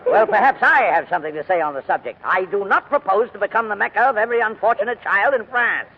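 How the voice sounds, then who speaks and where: Fussy older gentleman, animated old-radio voice. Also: high-pitched, quick pace, a little hiss or hum. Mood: grumbling, fussy. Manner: fussy, grumbling, formal, hesitant. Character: crusty, reluctant but kindly old English gentleman guardian. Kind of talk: 1940s radio acting. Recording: muffled vintage 1940s radio recording, music.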